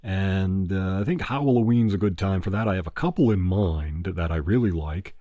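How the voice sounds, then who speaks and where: A man's voice: deep voice, normal speed, mellow, dry recording, muffled recording. A man hosting his own channel, chatting casually in a rambling, laid-back way with lots of ums and uhs. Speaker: movie review channel host. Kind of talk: casual podcast-style chat.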